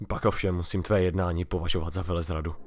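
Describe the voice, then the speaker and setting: A man's voice: deep voice, steady tone, background noise, natural voice. A man playing a proud, hot-tempered young prince in a fantasy audio drama, speaking coldly and imperiously. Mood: cold, commanding. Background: music.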